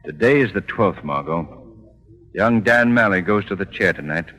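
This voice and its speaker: An actor playing a suave, brooding gentleman sleuth in old radio drama, measured and urbane. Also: low-pitched, animated, exaggerated voice. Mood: pensive. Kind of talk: 1930s radio drama acting.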